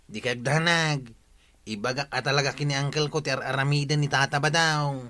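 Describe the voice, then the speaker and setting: A man's voice: mid-range voice, steady tone, fairly quick, sharp tone, lightly acted. A man acting an indignant younger villager with an Ilocano accent and a grumbling, accusatory manner in a comedy radio drama. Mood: assuring.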